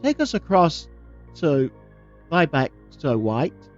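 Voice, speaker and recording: A man voicing a frightened but brave young girl in a storybook, speaking in a high, pleading way. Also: very animated, takes it slowly, highs cut off, faint music behind. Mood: calling.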